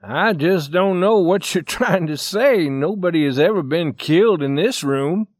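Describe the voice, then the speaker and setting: A man playing an irritable young man, high-pitched. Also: lively intonation, measured pace, dry recording, studio quality. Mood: irritated. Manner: challenging, exasperated. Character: blunt, irritable young son of a hotel owner.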